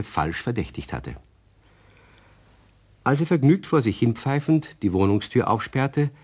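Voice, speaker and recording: A man narrating a children's radio play as an expressive, husky-voiced storyteller. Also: on the low side, exaggerated voice.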